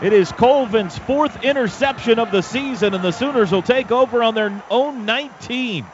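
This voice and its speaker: An American man calling live football play-by-play on radio in clipped, excitable bursts, recorded in lo-fi broadcast sound. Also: extremely high pitch, music in the background. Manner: excitable, clipped rapid-fire calls. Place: stadium radio booth. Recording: dull lo-fi broadcast with loud stadium noise. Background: loud stadium ambience.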